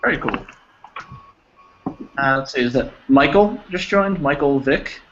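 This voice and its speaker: A patient, encouraging man leads an online English lesson, walking through examples step by step. His pitch is mid-range and unremarkable. The call audio is a bit dull, with faint hiss behind him. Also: animated, a little slow, a bit bright. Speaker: English teacher. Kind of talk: online class teaching. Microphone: video call.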